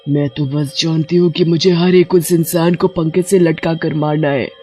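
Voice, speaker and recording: A vengeful witch ghost from a horror cartoon, acted by a woman. She speaks with theatrical menace and a killer's cold intent. Soft music sits behind her. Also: low voice, steady tone, moderate pace, natural voice. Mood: menacing. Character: vengeful murderous witch ghost haunting a ceiling fan. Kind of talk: animated horror story acting.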